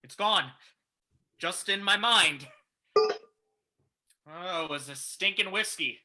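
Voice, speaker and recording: A man's voice: high-pitched, takes it slowly, sharp tone, full character voice. A man playing a shifty, hard-drinking saloon keeper in an old-time radio drama, blustering and stammering, on a noisy recording. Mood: rattled, frightened.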